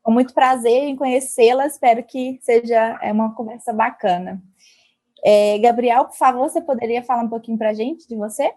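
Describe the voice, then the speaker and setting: A woman's voice: fairly high pitch, moderate pace, mellow. A woman moderating an online panel, introducing the guests in a friendly way over a video call.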